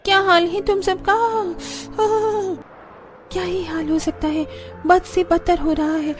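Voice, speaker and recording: Fed-up cartoon village bride, voiced by a woman: very high, emphatic, complaining, soft music behind. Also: little pitch movement, normal speed. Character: young village daughter-in-law, fed-up and scheming.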